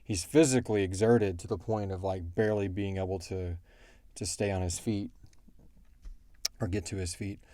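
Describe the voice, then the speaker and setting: A man with a lowish, very mellow voice, recorded close and dry. He talks casually as a podcast co-host, working through his explanations as he goes, often halting to think. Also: fairly even intonation, no room sound. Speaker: podcast co-host and show creator answering fan questions. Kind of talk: casual question-and-answer chat about a fiction series.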